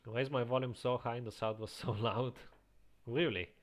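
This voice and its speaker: A man with a slight Eastern European accent, chatting casually as a streamer, reading chat aloud and chuckling often into a quiet home microphone. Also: mid-range voice, fairly even intonation, moderate pace. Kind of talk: casual live-stream chat while playing.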